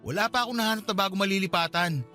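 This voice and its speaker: A man's voice: high-pitched, rapid-fire, sharp tone, faint music behind. A man playing a defensive, resentful husband in a Tagalog radio drama, dismissive and argumentative. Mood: defensive, annoyed.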